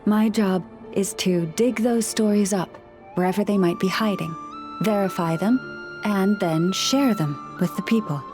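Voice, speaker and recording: A woman plays a gentle keeper of a forest library who talks with animals, her voice mid-pitched, calm and politely formal. Game music plays clearly underneath her lines. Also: unhurried, natural voice. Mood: gracious. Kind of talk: video game voice acting. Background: game background music.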